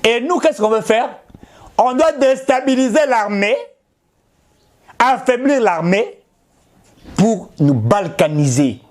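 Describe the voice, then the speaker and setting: Congolese man, middle-aged, Congolese French accent, fervent commentator, high animated delivery. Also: slow, pauses often. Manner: emphatic and insistent, with repetition and appeals to the audience. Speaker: political commentator. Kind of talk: impassioned direct-to-camera address.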